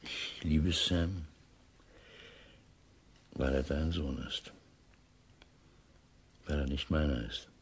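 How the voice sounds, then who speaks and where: A middle-aged husband in a radio drama, devoted but guarded. The voice sits extremely low and sounds rough and husky. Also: male voice, full character voice. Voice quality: deep, husky. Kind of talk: German radio play acting.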